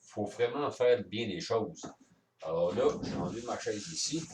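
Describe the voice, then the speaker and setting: A man's voice: lowish voice, expressive, normal speed, noisy background. A man with a Quebec French accent explains things step by step in a casual hands-on demonstration, as an amateur radio operator. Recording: roomy echo, distant microphone.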